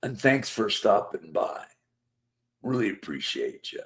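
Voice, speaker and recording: A man's voice: on the low side, big pitch swings, a little slow. A man hosting a casual live chat show, losing his voice, rambling and reading out viewer comments. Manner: rambling, chatty, reads viewer comments aloud. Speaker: host.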